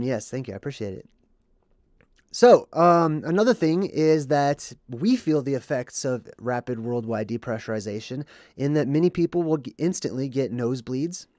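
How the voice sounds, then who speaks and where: A man's voice: medium pitch, a little mellow, no echo, studio quality. A man hosting a livestream, explaining to his audience while a bit under the weather and congested, often checking in with "okay" or "right". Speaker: livestream host. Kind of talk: explanatory monologue with chat reading.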